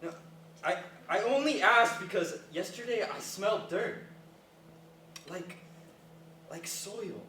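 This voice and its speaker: Echoey noisy room, actor doing awkward earnest guy in a play, halting and unsure. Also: sits high, pushed and bright, recorded from a distance, exaggerated voice. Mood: wistful, earnest. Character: hesitant, self-conscious, earnest former gifted kid. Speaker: actor in a staged play reading. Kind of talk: naturalistic stage dialogue before a live audience.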